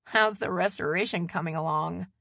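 Woman playing a firm, creaky-voiced no-nonsense mother. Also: fairly low pitch, normal speed, bright, forceful tone, dry recording, exaggerated voice. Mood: wry, curious. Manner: firm, dry, matter-of-fact. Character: tired, no-nonsense mother of a teenager, wry. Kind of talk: audiobook character dialogue.

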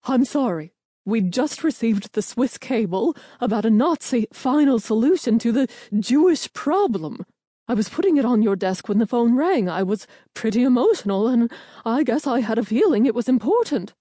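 A woman's voice: fairly high pitch, moderate pace, hardly any pauses, dark tone, no echo, clean studio sound, lightly acted. A woman playing an earnest young female intelligence clerk, anxious but determined, with an urgent, pleading manner. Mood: apologetic, pleading. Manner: pleading, self-justifying, urgent.

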